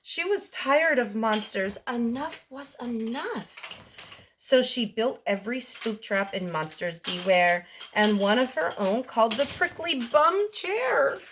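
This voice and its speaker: A woman reading a picture book aloud to young children as a storytime host, in an expressive sing-song delivery. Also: on the high side, takes it slowly, a little hiss or hum, poor audio.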